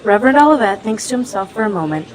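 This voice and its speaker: A woman's voice: fairly high pitch, animated, fast talker. A woman reading scripted narration as the narrator of a radio play.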